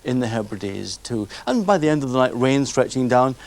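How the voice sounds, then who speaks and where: A man's voice: medium pitch, big pitch swings, moderate pace, gravelly, a little mellow. A man presenting a television weather forecast in a conversational way.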